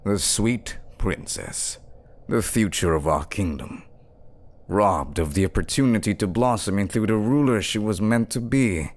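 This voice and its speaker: An actor playing a scheming duke in a fantasy audio drama, with a pompous, theatrical, taunting delivery. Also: male voice, fairly low pitch, a little slow, natural voice. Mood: mocking, accusing. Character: scheming, sneering duke, a smooth-tongued villain.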